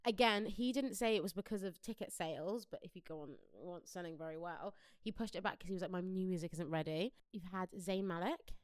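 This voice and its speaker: A woman's voice: on the low side, fairly quick, few pauses, smooth, dry recording. A British woman co-hosting a podcast, chatting casually about pop culture in an informal, opinionated way. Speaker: podcast co-host.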